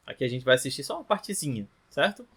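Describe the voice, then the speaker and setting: A man in his thirties from northeastern Brazil with a Brazilian Rio Grande do Norte accent, teaching a relaxed lesson to camera and often tagging his phrases with questions. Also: mid-range voice, fast talker, slightly rough. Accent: Brazilian Portuguese, from Rio Grande do Norte. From Natal, northeastern Brazil. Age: 30s. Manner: chatty, ends phrases with tag questions. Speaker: language teacher.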